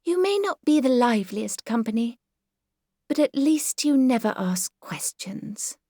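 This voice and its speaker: Female reader as genteel English Victorian mother: high, airy, fussy chatter, self-satisfied, audiobook, dry studio sound. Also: very animated, unhurried, dark tone, dry recording, full character voice. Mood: fond, weary. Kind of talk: dramatised audiobook character dialogue.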